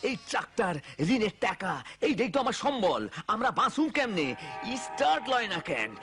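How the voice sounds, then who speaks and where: A man voicing an excitable, grumpy middle-aged village uncle in a cartoon, with a rural Bangladeshi accent and music behind. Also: sits high, normal speed, exaggerated voice. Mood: panicked. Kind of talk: cartoon voice acting. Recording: music under the voice.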